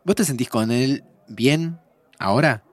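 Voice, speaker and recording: An actor playing a reassuring, gently teasing best friend with a Buenos Aires accent, insistent and interjecting in audio drama dialogue. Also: male voice, medium pitch, unhurried, no echo, natural voice. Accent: Argentine, Buenos Aires.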